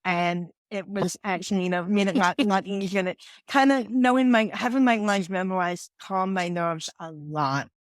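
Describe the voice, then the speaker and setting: A woman's voice: mid-range voice, normal speed, few pauses, no echo, clean studio sound. A woman in her forties with a New York accent, a podcast guest and confidence coach telling her story earnestly, often restarting her sentences. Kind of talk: podcast interview.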